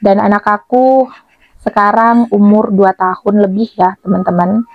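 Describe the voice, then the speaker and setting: A woman's voice: medium pitch, fairly even intonation, moderate pace, no echo, lo-fi sound, faint music behind. A woman chatting casually to her viewers as a vlogger, with a cough, cold and sore throat. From Blitar, East Java, Indonesia.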